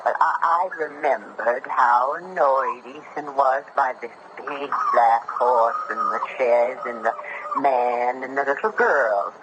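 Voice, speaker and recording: Actor as slow-talking old New countryman. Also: medium pitch, muffled recording, lightly acted. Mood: wistful reminiscing. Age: older. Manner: folksy, rambling, emphatic. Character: old country man telling a ghost tale. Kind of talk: 1970s radio drama acting. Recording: noisy vintage radio broadcast.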